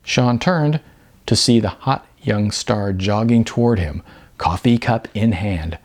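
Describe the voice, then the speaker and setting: A man's voice: fairly low pitch, slow. A man reading his novel aloud as scripted audiobook narration. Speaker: author reading own novel.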